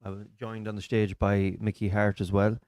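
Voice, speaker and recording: A man's voice: on the low side, little pitch movement, moderate pace, a little mellow, no room sound. An Irish man hosting a live stream from a small studio, chatting and telling stories with local slang. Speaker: comedian and podcast host. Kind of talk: casual chat on a live stream.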